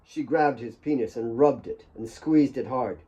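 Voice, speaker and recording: A man's voice: mid-range voice, unhurried, warm tone, dull audio. A man reading dramatic scripted fiction as an author narrating his own audiobook.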